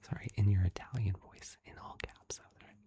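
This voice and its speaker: A livestream host chatting in a soft, giggly ASMR whisper, thanking viewers. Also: deep voice, fairly even intonation, a little fast, breathy edge.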